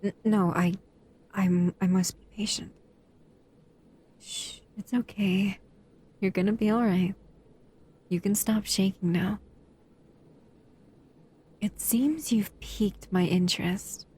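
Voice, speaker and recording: Casting: actress as female shadow monster for intimate roleplay audio. Unhurried, mellow, soothing, powerful and possessive but tender, slipping toward whispers. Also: medium pitch, steady tone, husky. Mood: curious, gentle. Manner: soft, soothing, hesitant, often dropping into whispers. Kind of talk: intimate scripted roleplay audio addressed to the listener.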